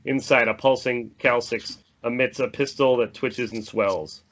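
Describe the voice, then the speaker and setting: A man's voice: medium pitch, fairly even intonation, measured pace, fairly bright tone, lo-fi sound. A man reading a screenplay's stage directions as dramatic, descriptive scripted narration.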